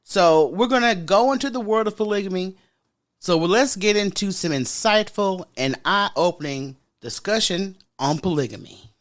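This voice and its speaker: A woman with a deep voice hosting a podcast in casual solo chat, frank and sassy, talking straight to her listeners. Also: animated, normal speed. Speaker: podcast host.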